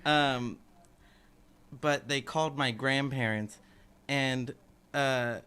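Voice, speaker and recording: A young man from the Bay Area, a band's bass player, telling a funny story in a relaxed, joking way in a casual radio interview. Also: slow, smooth. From San Francisco Bay Area. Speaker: bass player, band guest. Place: community station studio. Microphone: studio mic.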